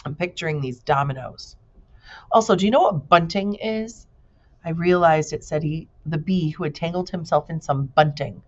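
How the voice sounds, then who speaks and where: A woman working as a teacher, reading a storybook aloud to students and pausing to explain words in a casual, direct way. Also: low-pitched. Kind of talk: storybook read-aloud with conversational asides. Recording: dull lo-fi recording.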